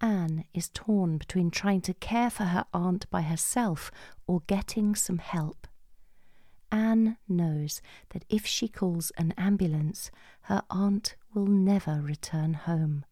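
A middle-aged woman reading a scripted podcast introduction in a measured way, hushed at phrase endings. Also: lowish voice, no echo, clean studio sound. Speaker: podcast host and playwright.

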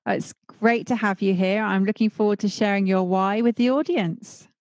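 A woman hosting a podcast, reading a scripted intro and then interviewing her guest in a measured, emphatic way. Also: medium pitch, steady tone, a little mellow, no room sound. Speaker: podcast host. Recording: dry close audio with faint music.

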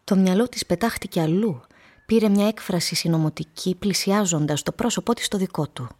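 A woman narrating a radio play as a literary storyteller, measured and descriptive. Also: fairly low pitch, darkish tone, husky.

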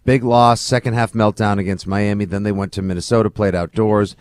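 A man co-hosting a sports podcast in a slightly low voice. His talk is casual and opinionated, with emphatic, colorful turns of phrase. The recording is very dry, with little room sound. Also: steady tone, fairly quick, no echo.

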